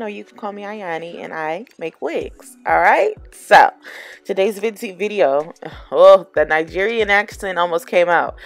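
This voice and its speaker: A woman's voice: sits low, vocal fry. A woman chatting casually to camera as a wig-making vlogger, explaining each step and often correcting herself. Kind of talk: casual how-to chat to camera.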